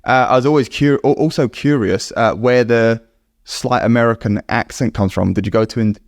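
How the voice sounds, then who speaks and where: A man's voice: medium pitch, moderate pace, dry recording, clean studio sound. A man hosting a podcast, reading a scripted intro and then asking encouraging interview questions. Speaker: podcast host.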